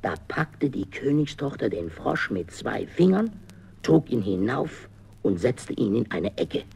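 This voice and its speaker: Gravelly, husky male storyteller. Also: medium pitch, big pitch swings, a little slow, a little mellow. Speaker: narrator. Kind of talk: dramatic fairy-tale narration.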